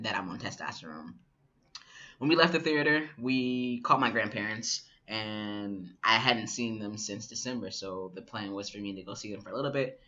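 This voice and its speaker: Young man, twenties, bright lowish voice, reflective vlog storytelling, muffled camera audio. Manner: relaxed, reflective, many fillers. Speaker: vlogger. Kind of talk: casual personal vlog storytelling.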